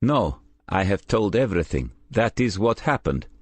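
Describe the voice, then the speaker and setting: A man voicing a nervous, guarded young foreign care worker in an audiobook, speaking in halting, simple phrases. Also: lowish voice, sing-song, husky, dry recording, voice pushed up.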